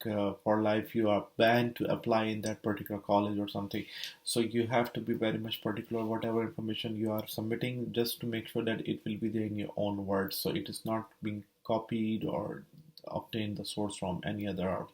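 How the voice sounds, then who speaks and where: A man's voice: lowish voice, gravelly, poor audio. A young man, casually explaining his study permit process, rambling with many fillers and restarts. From Saudi Arabia. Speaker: vlogger sharing his study permit experience.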